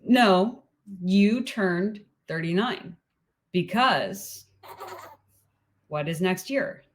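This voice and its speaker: Giggly late-thirties woman, podcast co-host, casual and effusive, mid pitch. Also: very slow. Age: 30s. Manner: giggly, gushing, lively with frequent laughs. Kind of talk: casual chat.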